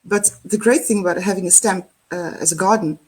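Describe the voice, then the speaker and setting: A woman's voice: lowish voice, brisk, breathy edge. A woman with a Cape Town South African accent, chatting animatedly on a video call and laughing often. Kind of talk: casual conversation.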